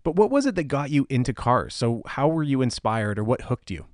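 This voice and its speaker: A man's voice: medium pitch, big pitch swings, fairly quick, darkish tone, no room sound. Dry-sounding male podcast host. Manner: encouraging, polite, hesitant phrasing. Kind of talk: casual podcast interview.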